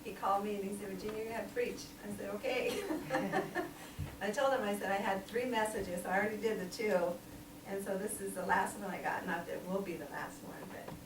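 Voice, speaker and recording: A woman's voice: mid-range voice, fairly quick. Brief: woman in her sixties, lay preacher, chatty with frequent laughs, recorded far off in a roomy, noisy church. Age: 60s. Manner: chatty, laughs often, um-filled. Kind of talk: informal church sermon. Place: small church hall. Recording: echoey room, distant mic, noisy.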